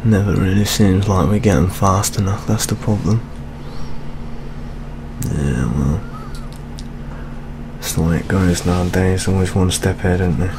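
A young British man playing a weary, jaded older police detective in a soft-spoken ASMR roleplay, muttering with gloomy gallows humour. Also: sits low, measured pace, long pauses, noisy background. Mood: jaded, resigned. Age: young adult.

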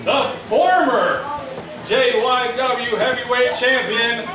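High-pitched male ring announcer, echoey hall. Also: over a noisy crowd. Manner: drawn-out, projecting announcing. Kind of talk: ring announcement at a wrestling show. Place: small indoor wrestling venue. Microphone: far camera. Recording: roomy hall from far off, loud music.

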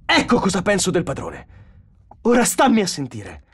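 A male narrator acts out a hot-headed space engineer in a science fiction audiobook. He shouts in a raised, strained-high pitch, scornful and crude, swinging widely in tone. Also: wildly swooping pitch, moderate pace, slightly rough, exaggerated voice. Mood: scornful, enraged. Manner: roaring, shouting, sarcastic. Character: hot-tempered, foul-mouthed space station engineer man.

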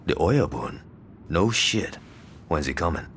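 An actor playing a swaggering, trigger-happy gang lieutenant in a video game, cocky, profane and slangy. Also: male voice, low-pitched, lively intonation, a little slow, a bit bright, a little hiss or hum, heavily acted. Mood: menacing. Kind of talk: video game cutscene acting.

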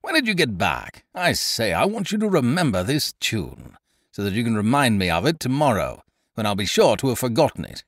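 A man playing a booming, blustering schemer. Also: huge pitch swings, fairly quick, dry recording, studio quality. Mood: hearty. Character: loud, hearty, scheming young male gentleman adventurer.

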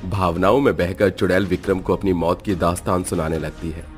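Low-voiced man narrating a horror story. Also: fairly even intonation, fairly quick, soft background music.

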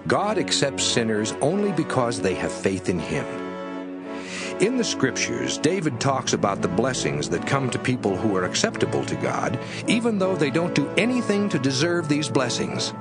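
A man reading scripture as a scripted audiobook, with an emphatic, measured and rhetorical delivery. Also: medium pitch, sing-song. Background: music under the voice.

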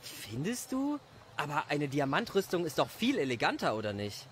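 A man's voice: a little high, wildly swooping pitch, moderate pace, a little hiss or hum. A man playing a young, proud and naive village hero in an audio drama. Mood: alert.

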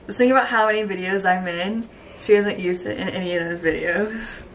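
A woman's voice: medium pitch, brisk, roomy sound, recorded from a distance, a little hiss or hum, poor audio. A young woman friend in a kitchen, groggy and playfully teasing in casual chat. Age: young adult. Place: home kitchen.

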